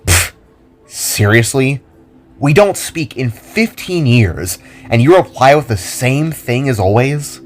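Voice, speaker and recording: A man's voice: mid-range voice, animated, slow, faint music behind, pitched above the performer's own voice. A man playing a hornless young spark creature, a self-conscious and earnest vigilante, in an audio drama. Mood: scoffing.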